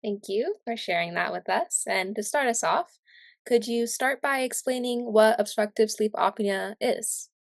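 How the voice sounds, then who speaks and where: A woman's voice: fairly high pitch, measured pace, dry recording, clean studio sound. A woman hosting a podcast, giving polite scripted introductions and asking questions. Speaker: podcast host.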